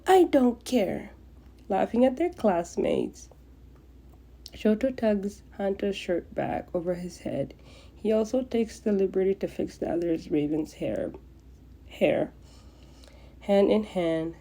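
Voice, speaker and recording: A woman's voice: lowish voice, warm tone. A woman narrating a piece of romantic fan fiction as a podfic reader.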